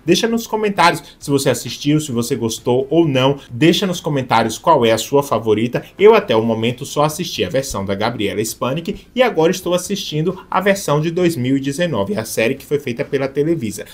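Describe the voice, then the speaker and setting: A man's voice: mid-range voice, expressive, fairly quick, few pauses. A Brazilian man hosting a video, narrating about soap operas in a chatty, explanatory, conversational way. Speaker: YouTube channel host.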